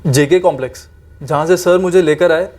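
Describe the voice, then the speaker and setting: A man acting as a reluctant young informant in a TV crime drama. His voice is somewhat high, and he answers deferentially, evasive and hedging. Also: a little fast, a little mellow, soft background music, natural voice. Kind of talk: Hindi TV crime drama acting, interrogation dialogue.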